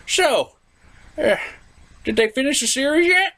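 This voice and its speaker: A lecherous old man puppet from a comedy show, acted out by a performer. The voice is high and very changeable in pitch, with a rambling, cranky, exclamatory delivery. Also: lively intonation, unhurried, a bit bright. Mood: wistful, curious.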